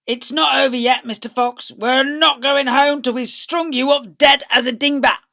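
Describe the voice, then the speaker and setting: A man voicing a tall, skinny, cruel farmer with a threatening, yelling manner, in a children's storybook reading. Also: very high-pitched, fairly even intonation, normal speed, voice pushed up, heavily acted. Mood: menacing.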